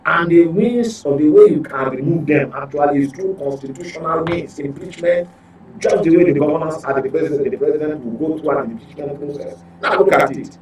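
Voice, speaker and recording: A man's voice: fairly high pitch, brisk, a little mellow, low-quality recording. Emphatic Nigerian man over humming background noise. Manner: emphatic, rhetorical questions, repeats points. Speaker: journalist, commentator. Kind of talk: current affairs talk, opinionated commentary.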